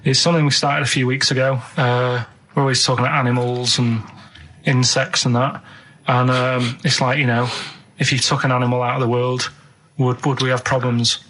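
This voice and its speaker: A man's voice: medium pitch, little pitch movement, a little fast, a bit bright, rough recording. A man co-hosting a radio show in casual, rambling chat, earnestly puzzled and asking naive questions.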